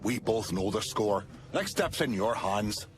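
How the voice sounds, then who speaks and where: An actor playing a profane, wry Irish gangster with a gruff, scheming manner. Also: male voice, fairly low pitch, expressive, unhurried, soft background music. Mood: stern.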